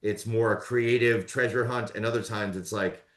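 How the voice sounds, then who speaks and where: A man's voice: fairly low pitch, normal speed, roomy sound. A man who narrates audiobooks, talking casually in an interview and rambling with lots of fillers. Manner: relaxed, rambling with many fillers.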